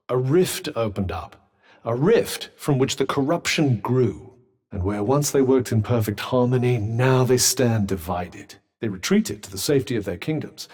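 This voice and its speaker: An actor voicing a sardonic, cultured talking magic bracelet in a video game, with dry, formal phrasing. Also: male voice, mid-range voice, slightly rough.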